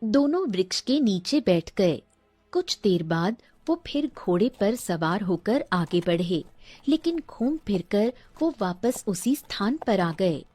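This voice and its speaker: A woman's voice: medium pitch, big pitch swings, slow pace, warm tone, no room sound, soft background music. A woman storyteller giving scripted narration of a fairy tale.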